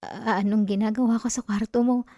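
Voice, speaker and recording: Woman acting as clingy possessive young girlfriend, Filipino accent, ASMR roleplay, mellow soft tone, teasing and pleading, dry close-mic sound. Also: on the high side, fairly even intonation, brisk, no echo. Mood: hurt, pleading. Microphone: close microphone, dry sound.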